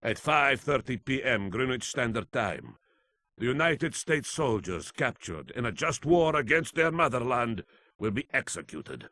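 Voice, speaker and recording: An actor playing a defiant fugitive leader delivering a grandiose, threatening political speech in a game. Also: male voice, mid-range voice, very animated, unhurried, pushed and bright, dry recording. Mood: cold menace. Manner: grandiose, declamatory.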